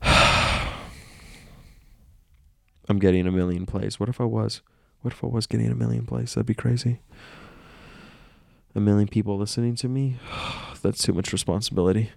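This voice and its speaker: A man's voice: fairly low pitch, steady tone, normal speed, creaky, long pauses, no echo, clean studio sound. A man hosting a casual solo podcast, congested and nasal from illness, rambling and self-aware. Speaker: podcast host.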